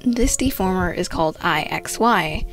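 A young woman narrates a screen tutorial with a stuffy, congested voice from a cold. She keeps it casual and chatty, tossing in dry jokes at her own expense. Soft music plays faintly behind her. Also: lowish voice, animated, normal speed, creaky. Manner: casual, chatty, self-mocking asides. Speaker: art tutorial host.

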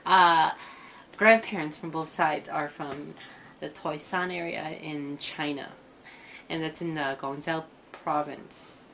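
A woman's voice: sits low, steady tone, slow, fairly bright tone, a little hiss or hum. A woman in her twenties whose first language is Cantonese, telling easygoing, rambling stories as a guest in a casual interview in a small room. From United States. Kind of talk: casual chat. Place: small indoor room with computers.

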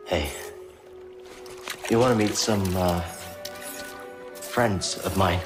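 An actor playing a guarded, earnest young Jedi fugitive in a video game, understated and sincere. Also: male voice, low-pitched, slow pace, music in the background. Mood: friendly. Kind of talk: naturalistic video game acting.

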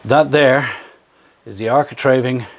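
A man's voice: medium pitch, measured pace, a little hiss or hum. Chatty Irish man vlogging a van build. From Ireland. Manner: chatty, upbeat, explains as he goes. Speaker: vlogger host. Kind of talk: casual vlog narration. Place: workshop yard and inside a van under build. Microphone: camera held at arm's length.

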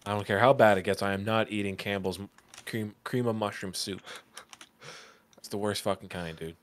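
A man's voice: on the low side, steady tone, moderate pace, slight background noise. A man in his thirties, a livestreamer chatting casually with friends at home, rambling and swearing with plenty of laughs, on a headset and desk mic. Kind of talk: casual chat with friends while gaming. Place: home room, indoors.

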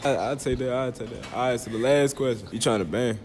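A man's voice: mid-range voice, a little fast, slight background noise. A young man hosting a prank street interview, teasing and laid-back with slang. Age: young adult. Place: indoor mall and outdoor college campus. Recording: music playing behind.

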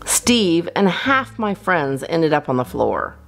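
Low-voiced, animated woman reading a storybook. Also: takes it slowly, gravelly. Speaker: storytime reader. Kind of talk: expressive read-aloud of a children's storybook. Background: guitar music.

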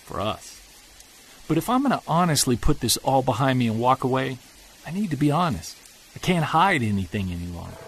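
Mężczyzna grający zmęczonego badacza z chrapliwym głosem. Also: male voice, mid-range voice, vocal fry, slight background noise, low-quality recording. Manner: confessional, earnest, quietly troubled. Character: weary middle-aged male Bigfoot investigator recording audio diary. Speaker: narrator. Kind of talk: scripted audio drama narration.

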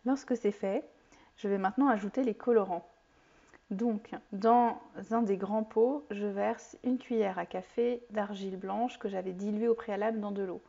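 A woman in her forties explaining soap making step by step in a home kitchen, with a clear, calm teaching manner and a few fillers. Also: medium pitch, moderate pace. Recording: slightly dull lo-fi sound.

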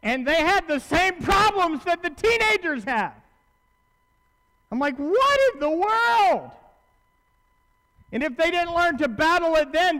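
High-pitched man preaching in a reverberant hall. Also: sharp tone. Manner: conversational and emphatic, with rhetorical questions and repetition. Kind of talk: sermon. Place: large church auditorium, at pulpit on stage. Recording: long hall reverb from stage.

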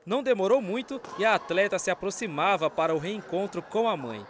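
A man narrating a local news report in a steady, even delivery. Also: sits high, a little fast, a little hiss or hum.

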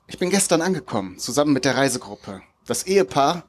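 A mysterious Russian man played by an actor with a husky voice and very animated intonation. He is a teasing old friend in a live audio drama, read on stage. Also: mid-range voice, quick pace. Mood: warm, eager.

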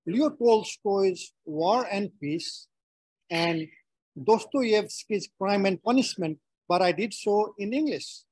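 A middle-aged man from Nepal with a Nepali accent gives a prepared webinar talk in a measured, phrase-by-phrase delivery. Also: sits high, expressive, a little mellow, dry recording, rough recording. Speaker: webinar speaker.

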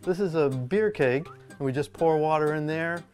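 An older man who builds canoes talks casually in his woodworking shop, pausing often with fillers. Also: a little high, darkish tone. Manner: halting, many fillers. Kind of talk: casual interview. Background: background music.

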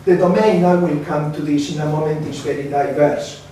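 A man in his sixties from Spain with a Spanish accent, giving a seated conference presentation with a halting, hesitant delivery. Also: on the high side, fairly even intonation, mellow. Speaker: academic speaker, research council member. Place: seminar room, indoors. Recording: very echoey room, distant mic, faint noise.

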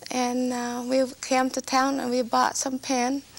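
Gravelly, halting woman from Vietnam. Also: high voice, monotone. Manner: halting non-native English with broken grammar. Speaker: interview guest. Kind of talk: personal life story in conversation. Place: small TV studio set.

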